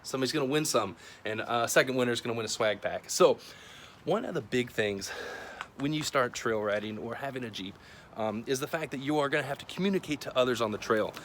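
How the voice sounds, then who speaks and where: A man's voice: medium pitch, quick pace. Casual male video host, noisy recording. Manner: informal, conversational, plain-spoken. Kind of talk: casual product review talk to camera.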